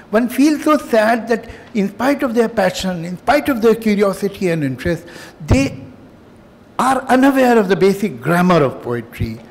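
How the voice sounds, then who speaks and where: An older man whose first language is Urdu speaks at a festival in a hotel hall, and the sound rings with a long reverb. His voice sits high and swings widely in pitch. He talks reflectively and with emphasis, pausing often and adding dry humor. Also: vocal fry, slight background noise. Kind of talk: public speech.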